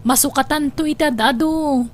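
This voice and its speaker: A woman's voice: sits high, normal speed, natural voice. A woman playing a generous, easygoing restaurant boss in a radio drama, speaking in a kindly way. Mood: curious, generous. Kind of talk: Ilocano radio drama acting.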